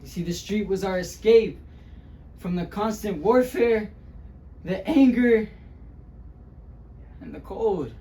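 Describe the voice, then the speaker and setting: Wounded young Mexican-American man, acted by a male performer, stage monologue; slow, halting, higher-pitched, dramatic. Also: mellow. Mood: pained, bitter. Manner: dramatic, halting delivery with long pauses. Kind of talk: dramatic interpretation monologue, speech-competition acting.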